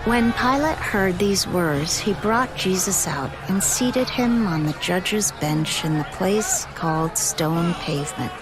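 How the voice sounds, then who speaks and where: A woman giving a solemn, measured, dramatic narration of scripture as the narrator of an audio drama. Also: lowish voice, animated, rough recording. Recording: studio voice over dramatic music.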